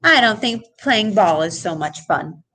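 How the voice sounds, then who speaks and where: A woman's voice: medium pitch, lively intonation, unhurried, background noise, lightly acted. A woman voicing an eager, wishful and very polite little male puppy in a storybook. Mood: brushing off disappointment.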